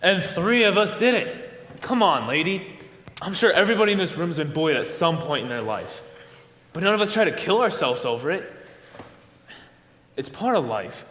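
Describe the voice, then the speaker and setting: A brash, callous male juror, played by an amateur stage actor, argues with dismissive impatience. His fairly high voice swings widely in pitch and rings through an echoey hall. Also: lively intonation, normal speed, long pauses, fairly bright tone, slight background noise. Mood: argumentative. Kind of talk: amateur stage play acting.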